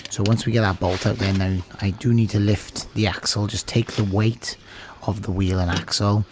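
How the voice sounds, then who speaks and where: Brief: British DIY mechanic in his thirties, deep and a bit hoarse, relaxed and chatty, faint background noise. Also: normal speed. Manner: relaxed, chatty, filler-heavy explaining. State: losing his voice. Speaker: channel host, car mechanic. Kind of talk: DIY car repair tutorial. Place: small home workshop office indoors.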